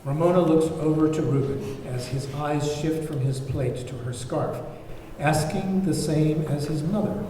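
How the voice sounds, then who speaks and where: Casting: male script narrator, dark mellow tone, reading screenplay action, recorded at a distance. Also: fairly high pitch, a little slow. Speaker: narrator reading stage directions. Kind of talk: scripted narration at a table read. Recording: very echoey, distant, noisy room recording.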